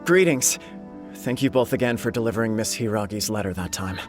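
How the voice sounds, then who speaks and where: An actor playing a dutiful young noble heir, formal and earnest, weighed down by duty. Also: male voice, lowish voice, brisk, natural voice. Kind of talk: game cutscene voice acting. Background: game music.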